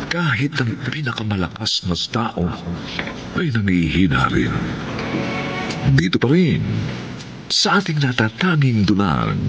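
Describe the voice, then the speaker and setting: Male Filipino drama announcer, lowish gravelly voice, formal and theatrical, over music. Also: highs cut off. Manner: formal, measured, theatrical delivery. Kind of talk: scripted radio drama narration.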